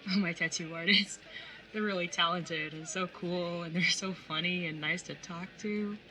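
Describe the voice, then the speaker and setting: Woman acting low-voiced, awkward caring older sibling. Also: steady tone, normal speed, bright, forceful tone, soft background music, low-quality recording. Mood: teasing, friendly. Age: young adult. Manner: casual, hesitant, wry. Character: awkward, caring older sibling, early twenties, tattooed, teasing. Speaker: narrator and lead character. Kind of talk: audio drama acting with first-person narration.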